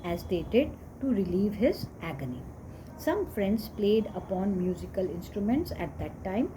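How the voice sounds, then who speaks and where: A woman's voice: mid-range voice, warm tone, poor audio. Woman reading scripture over noticeable background noise. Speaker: reader of scripture.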